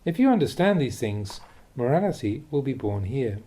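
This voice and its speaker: A man reading a Buddhist teaching aloud as instructive narration, with measured, deliberate phrasing. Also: medium pitch, big pitch swings, dark tone, husky. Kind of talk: read-aloud dharma talk, instructive narration.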